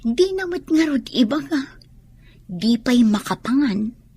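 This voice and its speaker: Very breathy, high-voiced woman. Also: big pitch swings, takes it slowly, dark tone, no room sound, full character voice. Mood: worried. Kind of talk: Ilocano radio drama acting.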